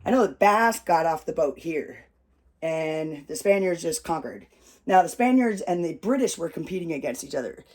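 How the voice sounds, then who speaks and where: An American storyteller telling a family tale with props in a loud, rambling, casual way, swearing freely. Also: medium pitch, animated, normal speed. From United States.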